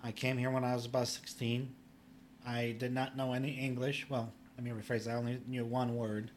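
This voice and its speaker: A man's voice: mid-range voice, level tone, moderate pace, a little hiss or hum. Man explaining plainly. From Poland. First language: Polish. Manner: plain-spoken and instructive, with filler "you know". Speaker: public adjuster, guest. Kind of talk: casual podcast interview.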